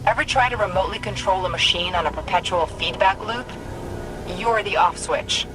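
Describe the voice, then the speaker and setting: A woman voicing a brisk, bossy AI companion in a video game, with a dry, sardonic and casual manner. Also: mid-range voice, background noise, lightly acted. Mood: brisk, urgent. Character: wry, flippant female AI companion, brisk and bossy. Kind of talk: video game cutscene acting.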